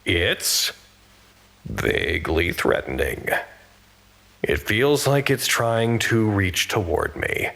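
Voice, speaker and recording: An actor playing a reflective, earnest man narrating an audio drama monologue in a measured, hesitant, thoughtful way. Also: on the low side, sing-song, creaky, pushed and bright, full character voice. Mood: uneasy, wary. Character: reflective male narrator describing an improvised office art gallery, earnest, uneasy.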